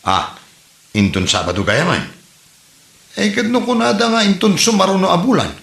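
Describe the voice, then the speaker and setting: A bright, very animated man acting as a hapless son in an Ilocano comedy drama. He whines and gets defensive with an Ilocano accent, in an echoey room. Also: high-pitched, moderate pace, gravelly, full character voice. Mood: sheepish. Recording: roomy echo, faint music.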